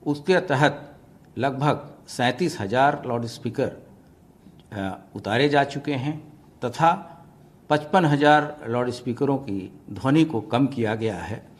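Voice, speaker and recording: A man speaking as an official, giving a formal and deliberate statement to the press. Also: medium pitch. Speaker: government official.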